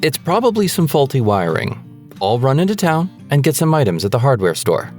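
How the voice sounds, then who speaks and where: A man's voice: mid-range voice, big pitch swings, a little fast, a little mellow, soft background music, close to an everyday voice. A man playing a practical, dismissive husband and father in a haunted-house audio drama. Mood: dismissive, reassuring.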